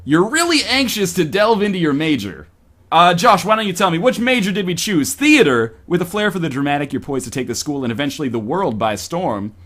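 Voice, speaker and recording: A man's voice: high voice, a bit bright. A man reading a story aloud on a stream in a playful, mock-dramatic way with joking asides.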